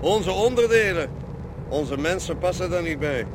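An actor playing a brusque, self-important company boss in a radio play, curt and condescending. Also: male voice, high-pitched, animated, normal speed, background noise. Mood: self-important, businesslike.

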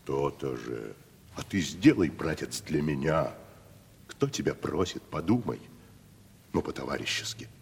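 A man's voice: a bass voice, big pitch swings, unhurried, husky, exaggerated voice. An actor playing a pompous, down-at-heel provincial tragedian who talks down to his companion in a classical radio comedy. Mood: coaxing, threatening. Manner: patronising, grandly condescending. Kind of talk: Russian classical stage comedy, radio play. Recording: reverberant 1970s radio drama recording.

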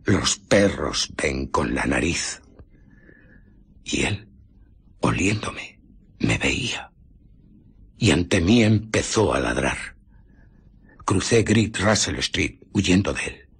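A haunted invisible scientist on the run in a radio drama. His voice is deep and very breathy, rough at the edges. He recounts his ordeal bitterly, with deliberate, theatrical pauses. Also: very animated, a bit bright, exaggerated voice. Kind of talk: dramatic radio play narration.

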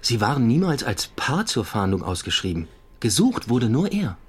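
A man's voice: medium pitch, sing-song, moderate pace, husky. An actor playing a dry, matter-of-fact male police investigator in a radio drama.

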